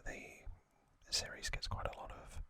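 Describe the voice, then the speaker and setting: A man hosting an ASMR video, speaking trivia and commentary in a gentle, breathy whisper with short, broken, unhurried phrases.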